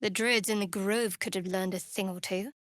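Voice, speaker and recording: A woman playing a guarded, sardonic cleric of a dark goddess in a video game, with a dry, wry delivery. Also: medium pitch, steady tone, normal speed, dry recording, close to an everyday voice. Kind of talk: video game character voice lines.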